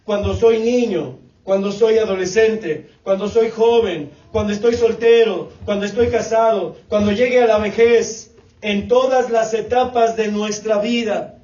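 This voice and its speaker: A man in his late forties preaching a sermon, repeating phrases in a steady cadence and calling the congregation brothers. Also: sits high, measured pace. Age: 40s. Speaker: pastor. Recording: echoey, distant, dull church recording.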